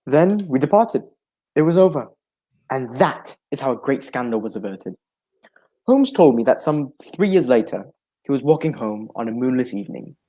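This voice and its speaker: A genial gentleman companion and storyteller of old radio drama, acted by a man. His mellow voice swings widely in pitch, with proper, exclamatory phrasing. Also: very animated, moderate pace. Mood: relieved, reflective. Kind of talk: adaptation of a 1940s radio drama.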